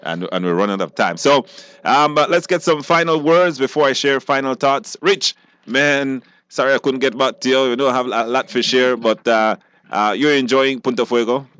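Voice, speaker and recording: A man with a Belizean accent hosts a live call-in radio show. He chats casually with callers and guests in a medium-pitched voice. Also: normal speed, a bit bright, smooth, no room sound. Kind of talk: live call-in quiz hosting, casual chat.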